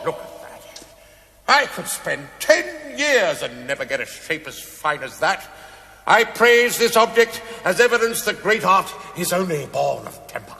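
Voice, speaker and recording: An actor playing a drunken, irreverent British stonemason in a television play, declaiming grandly and rapturously. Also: male voice, very high voice, fairly bright tone, full character voice. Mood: drunken rapture. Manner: declamatory, wildly swooping, grandiloquent. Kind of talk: theatrical television play acting. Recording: echoey hall, long reverb.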